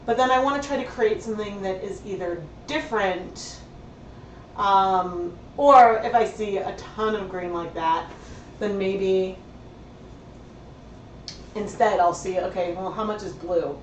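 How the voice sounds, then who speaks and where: Slightly hoarse woman chatting in a roomy space. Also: medium pitch, a little slow, long pauses, a little hiss or hum. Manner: chatty, thinks aloud, many fillers. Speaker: livestream host dyeing yarn. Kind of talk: casual livestream tutorial chat. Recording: roomy sound from a distant mic.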